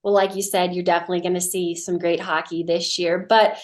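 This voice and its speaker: A woman's voice: lowish voice, flat delivery, a little fast. A woman with an American accent hosting an upbeat promotional interview for a sports team.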